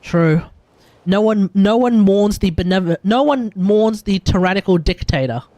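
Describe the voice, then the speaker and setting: High-pitched Australian man livestreaming. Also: animated, a little slow, warm tone, no echo. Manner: blunt, rambling, emphatic, slangy, thinking aloud. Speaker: livestreamer, gaming content creator. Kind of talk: casual livestream commentary. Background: music in the background.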